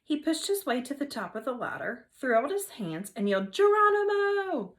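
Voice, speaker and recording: A woman teacher reading a children's novel aloud in an expressive storytelling manner. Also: on the high side, fairly quick. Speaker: third grade teacher.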